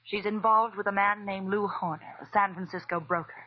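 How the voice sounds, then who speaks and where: An actress playing a brisk, imperious, sharp-tongued woman hiring a detective in a 1940s radio drama. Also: medium pitch, fairly even intonation, natural voice. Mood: guarded, confiding. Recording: dull vintage radio recording.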